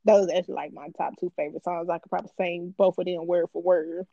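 A woman's voice: fairly low pitch, fairly even intonation, fast, no echo, muffled recording. A woman co-hosting a podcast in casual chat, teasing and laughing a lot. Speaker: podcast co-host.

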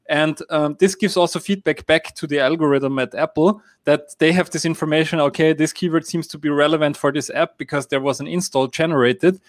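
A man's voice: fairly high pitch, dry recording, clean studio sound. A man from Austria whose first language is German, explaining app marketing in a live-stream Q&A with many fillers and hedging phrases. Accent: German-speaking Austrian. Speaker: app marketing guest expert.

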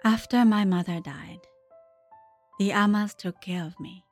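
A woman's voice: medium pitch, fairly even intonation, a little slow, soft background music, close to an everyday voice. An actress playing an earnest, dutiful woman who tells her story reflectively and hesitantly in an audio drama monologue. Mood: wistful. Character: adult Japanese daughter recalling her diver mother, earnest, dutiful.

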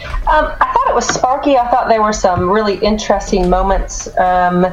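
A woman's voice: medium pitch, animated, normal speed, highs cut off, background noise. A woman on a discussion panel giving light, upbeat first reactions.

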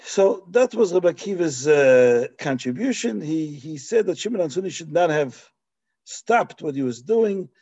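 A man's voice: fairly high pitch, expressive, moderate pace, warm tone, breathy edge, dry recording, highs cut off. A man raised in Belgium giving a Talmudic lecture, explaining passages with Hebrew and Yiddish terms and frequent restarts. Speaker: Torah teacher.

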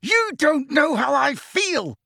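A man's voice: a little high, sing-song, normal speed, husky, dry recording, clean studio sound. A man voicing an anguished, hot-tempered teenage wizard boy in an audiobook, with a strained, angry delivery. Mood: screaming rage. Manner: loud, strained, shaking with anger. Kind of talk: audiobook character voice.

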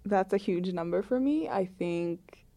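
A woman's voice: mid-range voice, lively intonation, a little slow, mellow. A woman in her late twenties chatting casually as an interview guest, often peppering her talk with "like". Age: late 20s.

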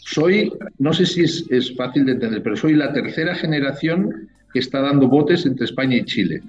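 A man's voice: medium pitch, animated, normal speed, lo-fi sound. A man from Spain with a Spanish accent, a winemaker talking casually as an interview guest, rambling and full of tag questions.

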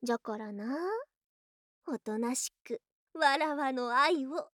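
A woman's voice: high-pitched, takes it slowly, fairly bright tone, no echo, clean studio sound. A woman playing a doting, possessive lamia yokai wife in an ASMR voice drama, with haughty but affectionate archaic speech. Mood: possessive, coaxing. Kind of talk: ASMR situation voice drama acting.

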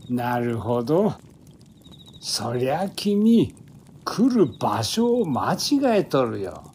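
A man's voice: mid-range voice, very animated, very slow, a little mellow, slight background noise, heavily acted. An actor playing a blunt, fatherly old man in an audio drama, admonishing in old-fashioned phrasing. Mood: gently blunt.

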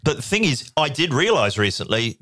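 A man, co-hosting a podcast and telling comic stories in an animated way, slipping into voices. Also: on the high side, brisk, dry recording. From New Zealand. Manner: animated storyteller who slips into voices. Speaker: podcast co-host. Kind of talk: casual chat, comic anecdotes.

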